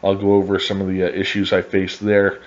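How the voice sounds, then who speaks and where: A middle-aged man with a low voice talks casually over his photos, often pausing on fillers and restarting his sentences. The recording is dull and lo-fi, lacking crisp top end. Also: monotone. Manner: halting, many uh fillers and restarts. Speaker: hobby car restorer narrating his project.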